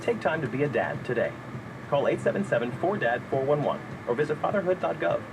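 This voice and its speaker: A man's voice: on the high side, sing-song, fairly quick, vocal fry. A man reading a public service announcement in an earnest tone. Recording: noisy background hiss.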